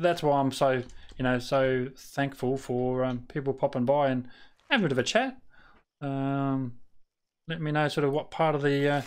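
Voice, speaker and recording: A man's voice: fairly high pitch, smooth. An Australian man chatting casually to his live-stream viewers while he plays, rambling with lots of fillers and restarts and muttering at the game.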